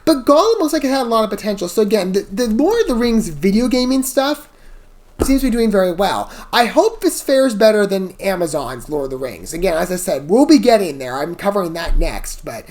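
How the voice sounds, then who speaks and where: A woman's voice: on the low side, a little mellow. A woman hosting a reaction channel, giving casual, rambling commentary in a chatty, digressive way with apologetic asides. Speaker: host of a video game trailer reaction channel.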